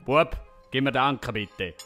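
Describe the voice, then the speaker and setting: Guy doing a hot-headed swiss german folk hero dad, blunt and sharp, bit of music behind. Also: medium pitch, normal speed, close to an everyday voice. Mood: bossy. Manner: blunt, bossy, grumbling. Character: gruff, stubborn, hot-headed family father, macho folk hero. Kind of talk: comic audio drama acting.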